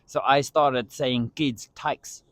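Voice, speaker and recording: A man whose first language is Afrikaans speaks in a casual, earnest tone with playful asides. His voice sits at an ordinary middle pitch. It is recorded close and dry, with no room sound. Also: fairly even intonation, takes it slowly, a bit bright, dry recording. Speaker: podcast guest. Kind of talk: casual chat.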